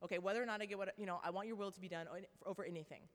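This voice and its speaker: A woman in her twenties giving a faith talk to a live audience, telling a personal story with animated asides. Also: medium pitch, very fast. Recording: long hall reverb.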